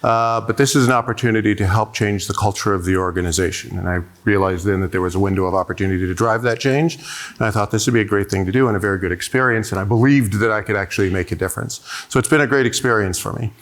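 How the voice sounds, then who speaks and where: A man's voice: on the low side, fairly even intonation, fast talker. A middle-aged man telling a personal story on a conference panel, earnest, with frequent hesitations. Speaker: panelist, diversity executive.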